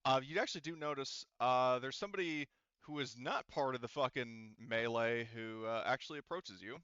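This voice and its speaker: Halting, smooth-voiced man running a tabletop game. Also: mid-range voice, a bit bright, no room sound, highs cut off. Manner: halting, searching for words. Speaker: game master. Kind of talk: improvised tabletop game narration, casual.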